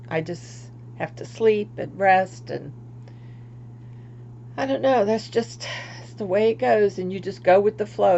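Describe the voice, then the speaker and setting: A woman's voice: lowish voice, creaky, a little hiss or hum. Chatty older woman, lo-fi. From Florida. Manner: rambling, chatty, laughs at herself, trails off into quiet asides. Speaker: craft vlogger. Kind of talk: casual livestream chat.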